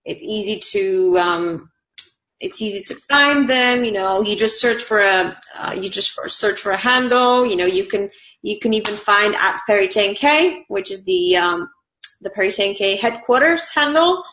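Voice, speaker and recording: A woman's voice: medium pitch, lively intonation, normal speed, a bit bright, rough recording. A woman from Bulgaria with a Bulgarian accent, chatting casually in an interview, bubbly and laughing often between fillers. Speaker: online community manager.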